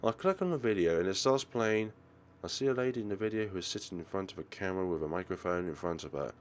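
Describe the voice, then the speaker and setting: Guy reading a visual novel out loud for a lets play, low voice, super animated intonation. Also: fast talker. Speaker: let's play host. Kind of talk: expressive read-aloud narration of a visual novel.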